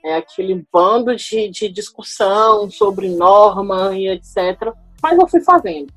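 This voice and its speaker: A woman's voice: mid-range voice, animated, measured pace, husky, dry recording, faint music behind, rough recording. A woman in her thirties from Salvador, Brazil, a lawyer telling her own story on a podcast, thoughtful and hesitant with many fillers and restarts. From Salvador, Bahia, Brazil. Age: 30s. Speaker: guest lawyer. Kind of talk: podcast interview, personal story.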